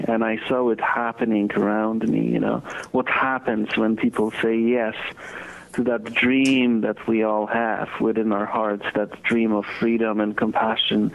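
A man in his thirties from Poland with a Polish accent, answering interview questions slowly and thoughtfully with many pauses and fillers. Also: on the low side, vocal fry. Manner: measured, halting, many fillers. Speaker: guest, foundation co-founder. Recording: dull lo-fi audio.

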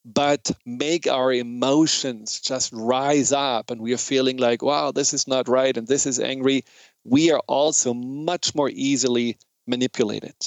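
A man's voice: mid-range voice, fairly even intonation, a little slow, no echo. A man from Germany with a German accent speaks in an earnest, reflective way, explaining ideas in an informal educational monologue.

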